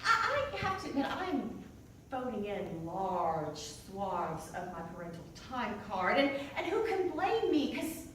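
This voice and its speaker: A woman performing a comedic theatrical monologue, flustered and halting, often interrupting herself. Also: mid-range voice, huge pitch swings, background noise. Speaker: solo stage performer. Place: indoor performance space before an audience. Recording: echoey stage hall, distant microphone.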